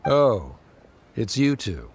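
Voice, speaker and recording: A man's voice: mid-range voice, sing-song, slow, soft background music. An actor playing a reserved, middle-aged father who speaks in a formal, clipped way.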